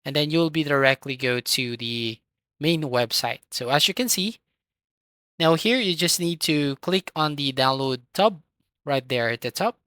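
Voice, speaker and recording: A tutorial host giving step-by-step software instructions in an instructional manner, with a voice recorded close to the microphone. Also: mid-range voice, expressive, unhurried, no echo.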